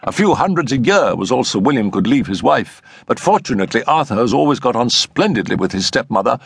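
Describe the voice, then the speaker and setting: A man's voice: medium pitch, big pitch swings, a little fast, creaky, breathy edge, no echo, pitched above the performer's own voice, full character voice. A man voicing an anxious, frank and earnest male doctor in an audiobook story. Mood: frank, uneasy.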